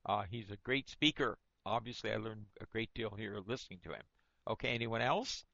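Bright-voiced man in a dull, dry recording. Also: fairly low pitch, brisk, gravelly, dry recording. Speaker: book discussion moderator. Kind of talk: informal group book discussion.

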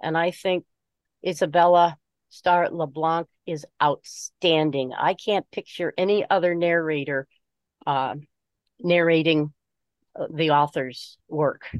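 A woman giving her opinions in an informal book discussion, speaking haltingly and deliberately as she searches for words. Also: sits low, fairly even intonation, clear, resonant tone, dry recording. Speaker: book group member.

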